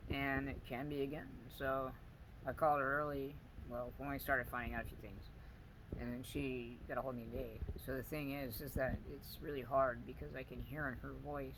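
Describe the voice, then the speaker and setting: A man talks quietly to his viewers in a weak, soft voice, stopping and starting as he gropes for words. His pitch sits in an ordinary middle range, and a steady hum of background noise runs under the recording. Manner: halting, searching for words, many pauses and fillers. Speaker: vlogger. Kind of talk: personal video diary.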